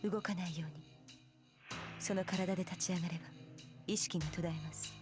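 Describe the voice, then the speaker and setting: An actress voices a composed, protective female spirit in a visual novel. Her voice is slightly low and very fast, calm and clipped, over faint music. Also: close to an everyday voice. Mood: calm resolve. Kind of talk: Japanese visual novel voice acting. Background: game music.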